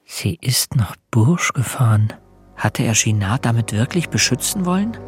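A man narrates a radio play in a literary, scripted style. His voice is of medium pitch and slips into inner monologue, with faint music underneath. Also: animated, moderate pace, husky.

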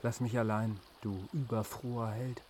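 An actor playing a troubled, jealous, bitter warrior in a fantasy radio play. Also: male voice, lowish voice, steady tone, moderate pace, slight background noise, lightly acted. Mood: shocked, bitter. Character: troubled, jealous brother-in-arms, bitter and sullen. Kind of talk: radio drama acting. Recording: very loud music behind.

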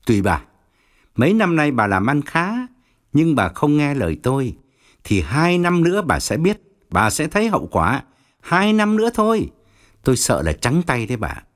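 A man's voice: on the high side, big pitch swings, normal speed, voice pushed up. Man as a smug con-man feng shui master. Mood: ominous. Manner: solemn, threatening, boastful. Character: smug, brazen male feng shui master conning clients.

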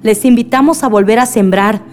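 Radio drama: actress as earnest village woman addressing her assembly, measured and solemn, fairly high voice, music underneath. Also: darkish tone, natural voice. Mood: hopeful, urging. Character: Alicia, grieving village woman, earnest community peacemaker.

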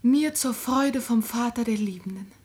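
A young, devout daughter consumed by love performed by a woman in a classic radio play. Her lightly high voice is breathy and fervent, often sinking toward a whisper with anguished rapture. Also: moderate pace, darkish tone, natural voice. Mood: rapturous. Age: young adult. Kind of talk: classic German stage drama radio acting.

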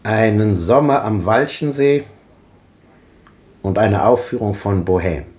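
An actor playing a grave, probing old man with a deliberate, rhetorical delivery in a 1950s radio drama. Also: fairly low pitch, expressive, darkish tone. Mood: wistful.

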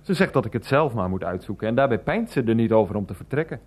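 Hesitant nephew, very animated pitch. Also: male voice, breathy edge. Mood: earnest, evasive. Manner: halting, evasive, earnest. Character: hesitant, sheepish adult nephew torn between two women. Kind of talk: radio play acting.

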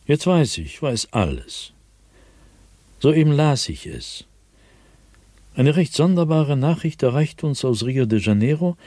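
An actor playing a haunted, fearful diarist sliding into madness in a dramatic, intense radio drama monologue, at times breathy and whispering. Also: male voice, mid-range voice, very animated, measured pace, pauses often, mellow, no room sound. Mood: grave, urgent.

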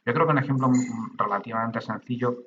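A man's voice: mid-range voice, quick pace, muffled recording, background noise. A middle-aged man the Basque Country, a podcast guest who explains things in a conversational way, with many restarts and hesitations. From Basque Country, Spain. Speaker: guest, data protection official. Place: remote from his own room.

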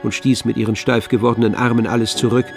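A male narrator reads literary prose for a radio drama. His delivery is measured and grave, his mid-pitched voice clearly creaky. Faint music runs behind him. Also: little pitch movement.

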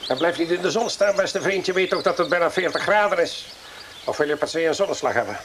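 A man's voice: fairly high pitch, animated, quick pace, background noise. An actor in a radio play voicing a pushy, eccentric, overfamiliar man who is bossy and drifts into dreamy musing. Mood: bossy, impatient.

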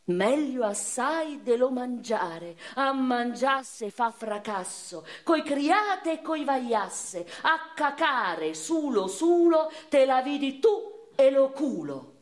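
A woman voicing a crude, vulgar king who declaims in a slow, drawn-out way with a Neapolitan accent, in a radio play. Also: high-pitched, sing-song, voice pushed up, full character voice. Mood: gleeful vulgar pomp. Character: crude, vulgar king reciting bawdy dialect verse. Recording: echoey room sound with music behind.

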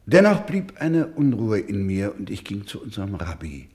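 A man acting a solemn, proud storyteller in a radio play, speaking gravely and deliberately, with emphatic swells and hushed drops. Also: on the low side, big pitch swings. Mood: earnest. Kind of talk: slow, dramatic radio-play narration.

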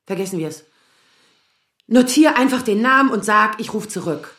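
A woman's voice: mid-range voice, animated, moderate pace, fairly bright tone, reverberant, close to an everyday voice. An actress playing an overworked lawyer daughter in her thirties, controlled but exasperated, in an audio drama. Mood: strained calm. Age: 30s. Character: overworked 35-year-old lawyer daughter, strained but restrained. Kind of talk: acted German audio drama dialogue.

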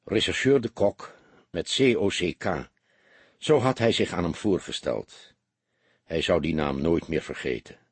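Male narrator, for crime audiobook: lowish, raspy, animated, very dry close sound. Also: unhurried, no echo. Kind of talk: dramatic scripted narration of a detective novel.

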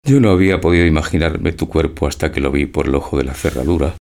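A man's voice: extremely low pitch, fast, darkish tone. A man playing a timid, besotted older newlywed husband in a radio drama, earnest and hesitant. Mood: earnest, adoring. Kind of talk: stage-like radio drama acting.

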